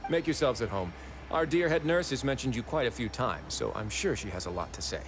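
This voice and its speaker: A man's voice: medium pitch, lively intonation, fairly quick, noisy background, close to an everyday voice. An actor playing a wry, self-assured male fortress warden in a video game, with dry, casual wit.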